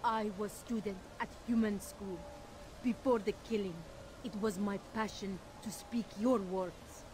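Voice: medium pitch, fairly even intonation, a little slow, background noise, close to an everyday voice. A performer voicing a proud, bitter alien warrior who speaks in stern, formal, broken phrases.

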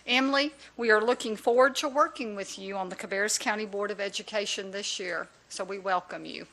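A woman's voice: medium pitch, a little fast, background noise. A woman reading a prepared formal introduction at a public board meeting into a desk microphone in a large room. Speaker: school official introducing a teacher.

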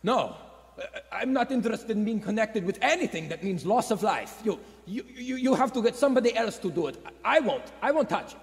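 A man's voice: high voice, lively intonation, brisk. A man playing a nervous, reluctant saboteur who stammers and hesitates in a radio play. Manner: stammering, throat-clearing, hesitant. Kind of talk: vintage radio play acting. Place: theater stage before a live audience. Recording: echoey theater stage.

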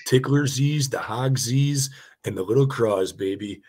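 Husky-voiced man calling auction bids. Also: mid-range voice, a little mellow. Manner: rapid rhythmic bid calling with rhyming banter. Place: home room on a video call.

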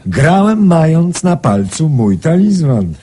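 An actor playing a composed, courteous middle-aged gentleman gambler in a radio drama, speaking in a measured way. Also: fairly high pitch, very animated, slight background noise. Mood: calm, assured. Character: self-assured older gentleman gambler trusting his talisman.

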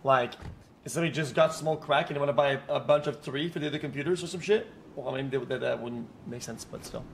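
A young man with a mid-pitched voice, rambling quickly and hedging everything he says. Faint noise sits behind his voice. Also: gravelly. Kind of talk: casual gaming chat with friends.

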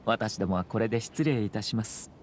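An actor playing a courteous, composed young noble commissioner in an anime game, speaking in measured, formal politeness. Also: male voice, on the low side, a little hiss or hum, close to an everyday voice. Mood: earnest, reassuring. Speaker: government commissioner.

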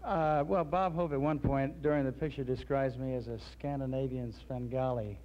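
A man in his thirties, an actor guest on a 1960s TV interview in a studio, answering in a relaxed, easygoing way with many fillers. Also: mid-range voice, normal speed. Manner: relaxed, easygoing, many ums and you-knows. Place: TV studio with curtain backdrop. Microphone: host's handheld mic. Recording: lo-fi 1960s TV broadcast with light hiss.

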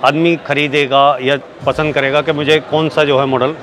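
A man's voice: fairly high pitch, level tone, normal speed, fairly bright tone, a little hiss or hum. A man, hosting an on-location interview and affirming what he is told. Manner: repeats and affirms answers. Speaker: interviewer, channel host. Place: indoor trade fair booth. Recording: busy exhibition hall crowd, background music. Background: crowd chatter and music.

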